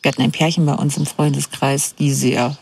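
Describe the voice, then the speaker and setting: A woman recounting a personal story as a confession. She speaks a little hesitantly, with a flat, even melody. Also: a bass voice, brisk, gravelly, breathy edge.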